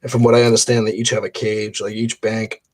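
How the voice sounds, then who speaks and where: Low-voiced man rambling casually. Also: a little fast. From Boston area. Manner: rambling, relaxed, many fillers and restarts. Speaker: coin roll hunter streaming. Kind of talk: casual live-stream chat while sorting coins.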